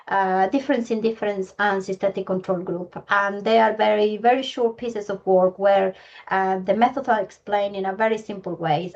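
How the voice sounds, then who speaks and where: Woman host, halting delivery, mid pitch; lo-fi video call. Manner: hesitant, many uhs and ums. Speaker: webinar host. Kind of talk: webinar housekeeping. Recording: dull lo-fi video call audio.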